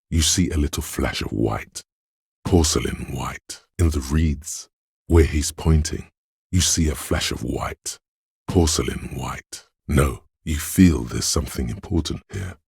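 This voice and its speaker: A man voicing a detective's coolly observant inner voice of visual reasoning in a video game, narrating in a measured, clinical, matter-of-fact way with a deep, gravelly tone. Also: a little mellow, dry recording, studio quality, heavily acted. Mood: intent. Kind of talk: scripted second-person game narration.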